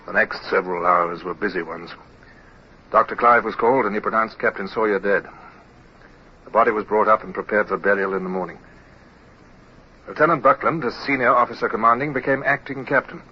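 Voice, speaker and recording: Male actor as naval lieutenant, low voice, crisp command, measured radio drama delivery, muffled old recording. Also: fairly even intonation, lightly acted. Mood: reflective. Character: brisk commanding young male naval lieutenant, reflective when narrating. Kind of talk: 1950s radio drama acting and narration. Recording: dull, hissy vintage radio recording.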